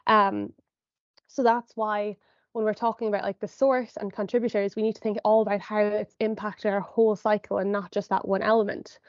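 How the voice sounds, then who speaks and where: A woman's voice: medium pitch, level tone, moderate pace, dry recording, muffled recording. A young woman psychologist, sniffly from a cold, giving an explanatory online talk to parents, hedging often with "I suppose" and ums. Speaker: sport and exercise psychologist presenter. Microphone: video call.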